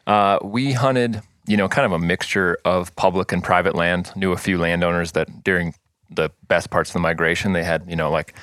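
A man from South Dakota, a podcast guest, talking casually with many pauses and restarts. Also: low voice, steady tone, a bit bright, no room sound, clean studio sound. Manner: rambling, many fillers and restarts. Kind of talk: casual interview chat.

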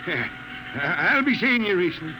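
A sheepish space crewman, highish voice that swings around, super noisy old radio recording. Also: sing-song, slow pace, exaggerated voice. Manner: awkward, hesitant. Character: sheepish, placating spaceship crewman bearing apologies. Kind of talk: old-time radio drama acting.

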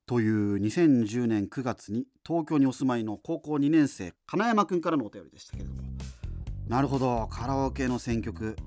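Man as a lively, rambling radio host. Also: medium pitch, natural voice. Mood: musing, conversational. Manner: rambling, colloquial, musing asides. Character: chatty, self-deprecating male late-night radio host. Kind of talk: casual radio monologue answering listener letters. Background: music.

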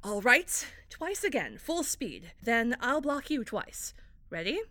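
A woman playing a strained, stern actress and stage combat teacher in an audio drama, speaking in a measured, dry, instructive way. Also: fairly high pitch, very animated, bright, forceful tone, full character voice. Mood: instructing.

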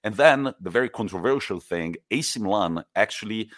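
A young man talks football in a low, deep voice and tells stories with emphatic, lively energy. His voice sits very low, and the sound is dry and close, with no room around it. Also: brisk, no echo. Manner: animated, emphatic storytelling. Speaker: podcast co-host. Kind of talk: casual football chat.

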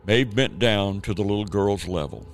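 A man's voice: lowish voice, moderate pace, a little hiss or hum. A man narrating a scripted radio drama as its storyteller.

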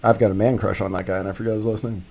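A man's voice: on the low side, level tone, rapid-fire, gravelly, a little mellow. Casual man telling a story on the radio. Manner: casual storyteller, frequent fillers like "like" and "um". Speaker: radio show co-host. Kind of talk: casual political talk radio.

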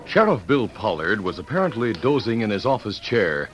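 A man's voice: on the low side, big pitch swings, normal speed, a bit bright, soft background music. A man narrating an old-time radio western from a script, in dramatic storytelling style.

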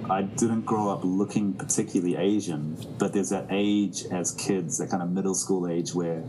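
A man from New Zealand talks things through slowly in a very low voice, often circling back to restart a thought. Faint ambient noise sits under the recording. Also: fairly even intonation, dark tone, poor audio. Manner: thoughtful, many restarts and fillers. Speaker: musician guest. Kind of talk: reflective interview talk.

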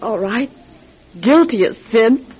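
A woman's voice: a little high, lively intonation, takes it slowly, a little mellow. An actress playing an anxious, distraught woman in a radio drama, pleading and emotional, fearing for her sister. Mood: tearful, guilty. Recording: muffled vintage radio recording, hissy.

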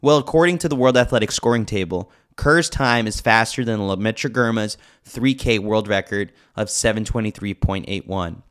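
A man's voice: on the low side, fairly bright tone, clean tone. A man reading a scripted sports newsletter with a lightly wry, joking manner. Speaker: newsletter reader, podcast host.

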